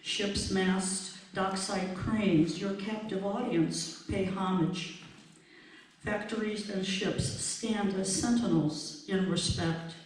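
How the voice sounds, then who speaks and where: A woman reading her own poem aloud in a measured recital. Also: medium pitch, fairly even intonation. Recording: very echoey room, distant mic, faint noise.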